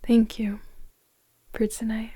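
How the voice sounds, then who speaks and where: A woman playing a gentle, flirtatious woman in her forties in an intimate ASMR romance roleplay, speaking softly and unhurriedly in a breathy near-whisper. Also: fairly high pitch, monotone. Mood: affectionate. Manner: soft, tender, hesitant, unhurried flirting.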